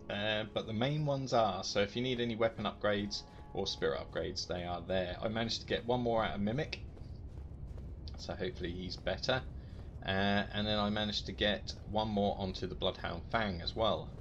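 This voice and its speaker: A man's voice: on the low side, muffled recording. A man giving casual, self-deprecating gameplay commentary as a gaming video host. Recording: game music behind the voice. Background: loud game music.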